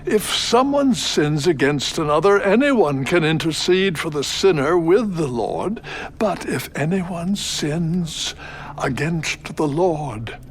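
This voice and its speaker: An actor playing an aged priest, an old man pleading slowly with his sons in an audio Bible drama. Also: fairly high pitch, animated, a little hiss or hum.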